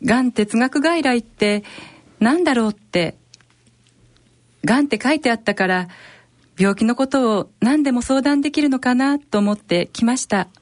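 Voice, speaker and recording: A woman's voice: fairly high pitch, lively intonation, normal speed, no room sound, close to an everyday voice. A woman playing an anxious, polite married patient who feels misunderstood, in an amateur radio drama. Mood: polite, reserved.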